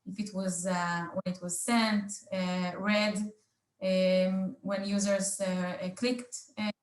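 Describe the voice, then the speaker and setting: Halting, slow-paced woman hosting a roundtable. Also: medium pitch, flat delivery, echoey room. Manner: halting, hesitant delivery with very frequent filled pauses. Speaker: roundtable host. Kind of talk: unscripted business presentation in a panel discussion.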